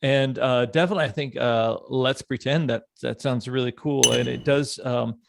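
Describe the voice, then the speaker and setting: A man's voice: medium pitch, steady tone, moderate pace, gravelly, background noise. A man hosting a casual podcast chat in an enthusiastic, effusive manner. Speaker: podcast host.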